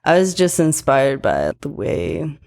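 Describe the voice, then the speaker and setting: Podcast guest, young woman, low voice with gravelly fry, easygoing and quick to laugh. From grew up between Thailand and Prince Edward Island. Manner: relaxed, laughs often between thoughts. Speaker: guest artist. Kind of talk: casual podcast chat.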